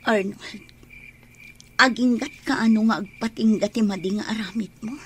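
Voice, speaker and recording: Radio drama: troubled, long-suffering village wife played by an actress, Ilocano accent, highish pitch, slight ambient noise. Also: female voice, steady tone, normal speed, lightly acted. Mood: pleading, worried.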